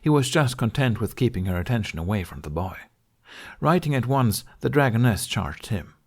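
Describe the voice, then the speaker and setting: A man's voice: mid-range voice, big pitch swings, normal speed. A man reading scripted fantasy fiction as an audiobook narrator.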